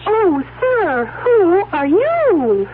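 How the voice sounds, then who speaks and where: Excitable earnest woman: high-pitched, pleading, radio drama delivery, narrow-band recording with faint hum. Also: drawn-out words, heavily acted. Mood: confused, flustered. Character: farmer's wife, excitable, earnest and emotional. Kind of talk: 1970s radio acting.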